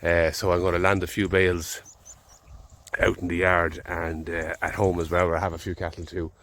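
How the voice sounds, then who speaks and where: Deep-voiced Irish farmer with a head cold. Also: male voice, level tone, normal speed, fairly bright tone. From Ireland. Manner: easygoing, rambling, thinking aloud. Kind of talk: casual vlog narration. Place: outdoors in a field.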